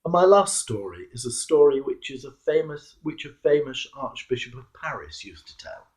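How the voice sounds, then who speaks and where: A British man giving a reflective sermon, telling stories and posing earnest, measured questions to his listeners. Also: mid-range voice, animated, a little mellow. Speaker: clergyman giving a Holy Week reflection.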